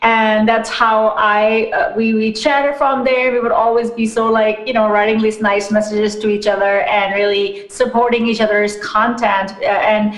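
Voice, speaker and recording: A woman's voice: fairly high pitch, flat delivery, moderate pace, hardly any pauses. A woman from Pakistan, raised in the US, guesting on a podcast and chatting in an upbeat way with lots of "like" and "you know". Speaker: podcast guest, wellness business founder. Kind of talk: casual interview chat. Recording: echoey room.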